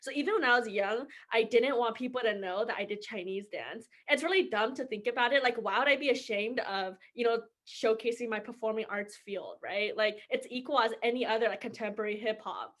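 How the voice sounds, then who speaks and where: A woman's voice: fairly high pitch, a little fast, hardly any pauses, fairly bright tone. A woman, chatting casually and laughing often as podcast co-host. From San Francisco Bay Area. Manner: laughs often, many fillers. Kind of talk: casual chat.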